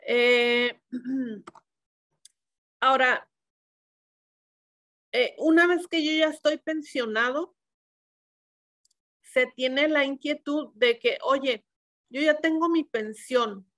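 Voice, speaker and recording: Woman from Mexico, middle-aged, webinar speaker, methodical, fillers and self-corrections, slightly high voice. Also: slow pace, pauses often, fairly bright tone. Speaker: accountant giving a webinar. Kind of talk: explaining pension rules.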